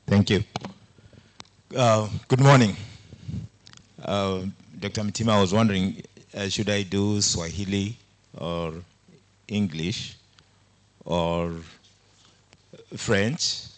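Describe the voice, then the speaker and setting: A man whose first language is Luo, speaking at a panel and telling reflective stories with pauses and fillers. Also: fairly low pitch, fairly even intonation. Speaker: panelist from a social entrepreneurship organization. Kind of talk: panel talk to a live audience.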